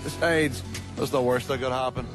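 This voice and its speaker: An actor playing a reckless, sardonic Irish gangster with a blunt, menacing manner in a game. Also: male voice, medium pitch, steady tone, normal speed, music in the background, lightly acted. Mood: amused, cavalier. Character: reckless Irish gangster, sardonic, vengeful hard drinker type. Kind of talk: game cutscene acting.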